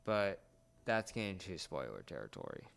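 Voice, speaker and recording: Young male co-host, lowish smooth voice, casual explainer with halting phrasing. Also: very animated, fairly bright tone. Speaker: podcast co-host. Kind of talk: casual pop culture discussion.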